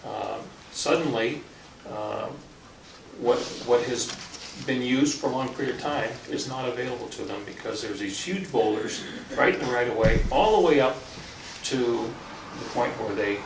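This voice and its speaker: A man with a mid-pitched voice talks as a board official, choosing words haltingly but firmly. He is recorded at a distance in an echoey room, with clear noise behind him. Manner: halting, deliberate, firm. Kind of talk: public meeting discussion. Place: indoor public meeting room.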